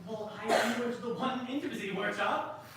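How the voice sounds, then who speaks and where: An actor in a live staged reading playing a reluctant, married theatre director with halting, wordy, formal phrasing. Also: medium pitch, natural voice. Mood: formal, hesitant. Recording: very echoey, noisy room from far off.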